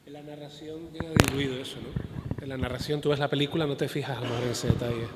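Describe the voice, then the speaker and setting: A man with a Canarian accent moderating a panel, briskly prompting the audience. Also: mid-range voice, fairly even intonation. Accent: Canarian Spanish. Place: art school event hall. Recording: echoey hall, faint noise.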